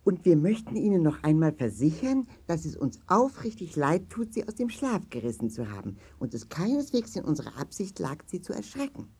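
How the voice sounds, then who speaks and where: A woman's voice: lowish voice, sing-song, fairly quick, few pauses, mellow, slightly rough, exaggerated voice. A woman playing a courteous, helpful, articulate doctor in a children's audio play. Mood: apologetic. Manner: formal, careful, courteous. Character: polite, helpful, articulate doctor friend, leader.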